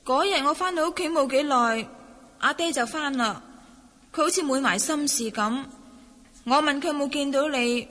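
Female, Cantonese: sharp-tongued, petulant young bride in martial-arts radio drama, very bright high voice, hall reverb. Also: moderate pace. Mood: puzzled. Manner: pert, sarcastic, petulant. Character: feisty, jealous young wife, sharp-tongued.

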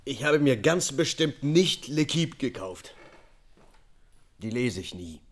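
An actor playing a haunted, detached murder defendant confessing in a radio drama. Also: male voice, mid-range voice, sing-song, slow pace. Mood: defensive. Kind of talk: German public radio drama acting, confessional testimony.

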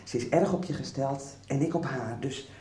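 A woman office worker confiding secrets in a radio soap. Her voice is soft-edged and mellow, hushed and conspiratorial. It sits away from the mic in an echoing, slightly noisy space. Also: very deep voice, moderate pace. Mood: urgent, secretive. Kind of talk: 1990s radio soap acting. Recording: roomy, distant, noisy radio drama.

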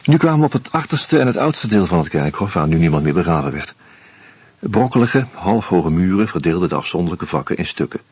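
An actor playing a wry, uneasy screenwriter who narrates a radio play in a measured, reflective way with hesitant fillers. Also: male voice, low voice, big pitch swings, a little mellow, husky, no echo, heavily acted. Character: wry, uneasy male screenwriter caught up in murders.

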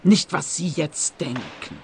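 A woman's voice: low voice, very animated, slow, gravelly, a little hiss or hum, full character voice. A woman playing a pompous, lecturing art expert in a radio play. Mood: lofty. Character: pontificating female art expert, lofty and theatrical.

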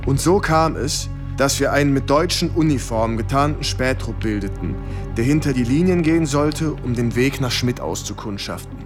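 A man's voice: mid-range voice, animated, normal speed, lightly acted. A man acting as a young, dutiful, uneasy US army lieutenant who narrates a war radio play in German. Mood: measured recollection. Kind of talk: radio drama narration and dialogue. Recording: music under the voice. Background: dramatic music.

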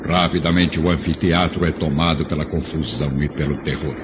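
Narrador brasileiro, voz grave e enfática, estilo radionovela, gravação lo-fi com música. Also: male voice, extremely low pitch, steady tone, a little fast, very noisy. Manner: dramatic, emphatic. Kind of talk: dramatic radio drama narration. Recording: dull lo-fi sound with music. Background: music.

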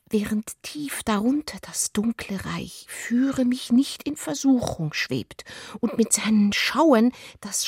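A woman reading scripted literary narration for a radio play, soft and intimate in manner. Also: a little high, breathy edge, dry recording, studio quality.

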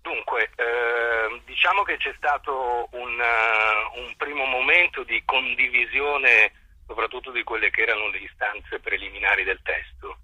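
A man giving reflective interview answers as a theatre director, articulate and formal, choosing words deliberately. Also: mid-range voice, sharp tone, slightly rough, no room sound, poor audio.

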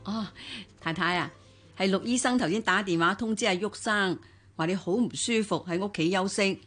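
A woman's voice: medium pitch, moderate pace, fairly bright tone, lightly acted. An actress with a Hong Kong Cantonese accent playing a deferential female household helper in a radio drama. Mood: respectful.

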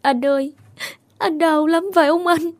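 Woman doing a young village girl, grieving, earnest, tearful, high voice. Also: animated, normal speed, a little mellow, slightly rough. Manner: tearful, pleading. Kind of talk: horror story voice acting.

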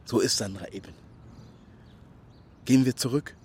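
A man's voice: mid-range voice, moderate pace, a little mellow, slight background noise, natural voice. An actor playing a proud, hunted young migrant man from Ghana in a radio drama, speaking in short clipped sentences. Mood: guarded, proud.

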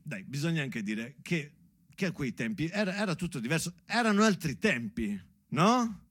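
High-pitched man delivering a theatrical monologue. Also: sing-song, moderate pace, fairly bright tone, husky, no room sound, studio quality. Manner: ironic, rhetorical, addressing the listener with questions. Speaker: stage actor performing a solo theatre monologue. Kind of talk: theatrical monologue, swinging between rapid recitation and conversational asides.

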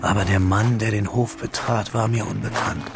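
An actor playing a wistful, pensive young man who narrates his memories in a measured, reflective way for a literary radio drama. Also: on the low side, fairly even intonation, over loud music, close to an everyday voice. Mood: quietly tense.

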